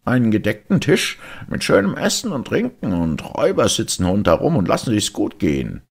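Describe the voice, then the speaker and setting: A man's voice: fairly low pitch, wildly swooping pitch, brisk, vocal fry, husky, voice pushed up, heavily acted. A man voicing an old, tired donkey in a storybook reading. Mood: hopeful.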